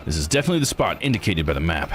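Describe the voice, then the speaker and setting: A man's voice: low voice, very animated, racing. A man doing casual let's play commentary, thinking aloud and reading game text out. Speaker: video game streamer. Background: game music.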